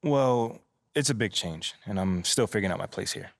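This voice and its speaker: A man's voice: on the low side, animated, moderate pace, creaky, dry recording, studio quality. A man playing an easygoing young American basketball player in a video game, chatting casually and politely. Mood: relaxed, confident. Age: young adult. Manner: laid-back, friendly, informal slangy talk. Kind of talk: casual conversational video game cutscene acting.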